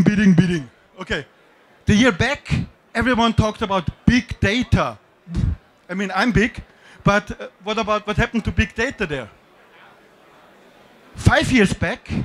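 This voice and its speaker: A middle-aged man from Austria with an Austrian German accent, giving a joking, self-deprecating talk on a conference stage with a handheld mic. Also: sits high. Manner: self-deprecating, jokey, with slightly non-native phrasing. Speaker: conference speaker. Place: dim conference stage with audience.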